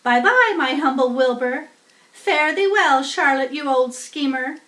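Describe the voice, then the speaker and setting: A woman's voice: high-pitched, lively intonation, a little slow, a bit bright, pitched above the performer's own voice. A woman voicing a sneering, boastful, self-indulgent male rat in a children's storybook. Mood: gleeful. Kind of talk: children's storybook read-aloud. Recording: roomy echo, distant from mic.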